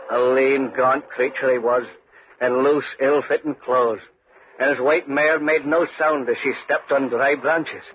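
A man's voice: mid-range voice, natural voice. An actor playing a humble, uneasy tenant farmer who tells his tale in earnest, folksy 1940s radio style. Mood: grave, haunted. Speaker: narrator and lead character. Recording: muffled, noisy 1940s radio recording.

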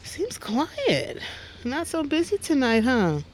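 A woman's voice: high voice, very animated, takes it slowly, a little hiss or hum, heavily acted. An actress playing a blunt, sassy woman who works at a hospital, in casual audio drama dialogue. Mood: wry. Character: blunt, sassy female hospital colleague, crude-humoured.

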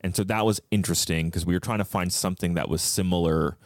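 A man's voice: low-pitched, fairly even intonation, fast, no room sound, clean studio sound. A man chatting casually as a podcast guest, thinking aloud in a rambling way. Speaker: podcast guest, co-host of a book podcast. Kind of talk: casual chat.

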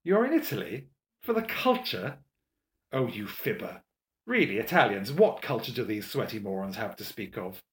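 A man plays an arrogant young English gentleman from a storybook. He is theatrically scornful and full of mischief, leaning on his words in a slow, mocking drawl. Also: on the low side, animated, roomy sound, lightly acted. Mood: disdainful, mocking. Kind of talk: audiobook character dialogue.

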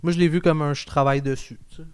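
A man's voice: on the high side, fairly even intonation, rapid-fire, a little mellow, clean tone, no room sound, clean studio sound. A young man with a Quebec French accent, a podcast guest telling stories in slangy, swearing, self-mocking talk. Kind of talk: casual chat.

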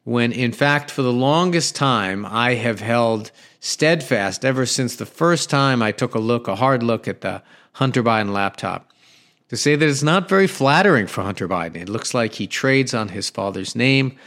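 A man's voice: mid-range voice, expressive, normal speed, fairly bright tone. A man hosting a talk radio show, with a conversational, emphatic delivery that often restarts mid-sentence. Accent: New York. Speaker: radio host.

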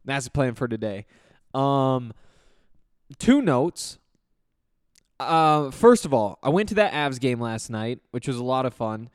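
Man, podcast host, conversational and rambling, recovering voice, clear tone, dry close sound. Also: mid-range voice, sing-song, measured pace, long pauses, no room sound. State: recovering from voice loss; allergy flare-up. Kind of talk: casual sports podcast monologue.

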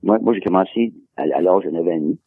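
Older man, English speaker, rapid husky delivery, dry close sound, rambling recall. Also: deep voice, no room sound, narrow, tinny audio. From Quebec. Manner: rambling, repeats words while recalling. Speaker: guest, sports historian. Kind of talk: casual interview.

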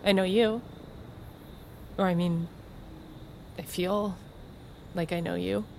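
An actress playing a breezy, quietly manipulative woman in a naturalistic audio drama, chatty, coy and insistent. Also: on the low side, fairly even intonation, takes it slowly, vocal fry, slight background noise. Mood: placating.

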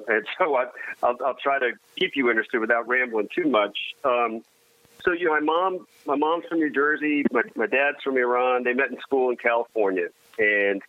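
Guest, man, halting and wry, first language Armenian; thin phone-line sound. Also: mid-range voice, no echo. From United States. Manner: halting, many restarts, self-deprecating humor. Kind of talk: casual conversation. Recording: dry tinny phone-like line.

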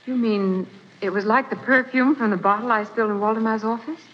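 An actress playing a spirited young assistant who asks eager questions, in a 1940s radio drama. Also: female voice, on the high side, fairly even intonation, fairly quick, natural voice. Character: plucky young woman, detective's assistant. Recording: dull, hissy 1940s radio broadcast.

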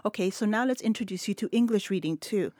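A middle-aged woman from West Texas, a Spanish native speaker, talks as a panel guest in an earnest, reflective way. Her sentences run long and flow steadily, at a middle pitch. The recording is close and dry, with no room sound. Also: quick pace, darkish tone, no room sound. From El Paso, West Texas. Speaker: literature professor, guest. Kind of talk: panel discussion.

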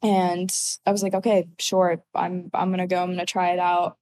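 A woman's voice: fairly low pitch, normal speed. A woman in her twenties from Ukraine, answering interview questions casually with lots of fillers. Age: 20s. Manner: relaxed, uses many fillers like "like" and "so yeah". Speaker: guest, college athlete.

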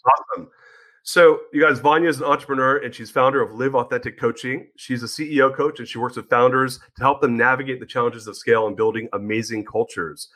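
A man's voice: medium pitch, steady tone, fairly quick, pushed and bright. A man hosting a podcast interview, introducing his guest in an upbeat, casual way, with some stumbling restarts. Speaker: podcast host.

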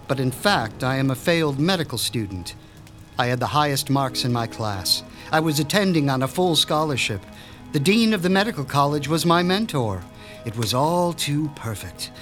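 An actor playing a proud, formal mad-scientist doctor in a radio drama, speaking with precise, pompous diction. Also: male voice, a little high, fairly bright tone. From Switzerland. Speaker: mob doctor.

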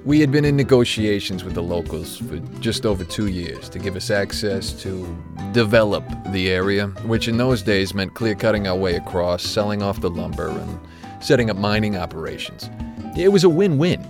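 A man's voice: on the low side, expressive, moderate pace, music in the background. An actor playing a retired, conscience-stricken corporate executive, an older man reminiscing in a documentary interview.

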